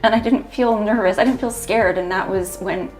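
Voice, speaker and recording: Reflective woman in her thirties. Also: medium pitch. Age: 30s. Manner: measured, reflective. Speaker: interviewee. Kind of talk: documentary interview, personal testimony. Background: faint music.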